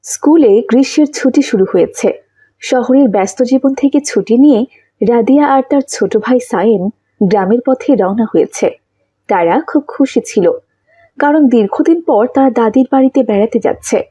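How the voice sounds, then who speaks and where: A woman narrating a scripted children's story, with a husky, mellow voice. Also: on the high side, normal speed, dry recording.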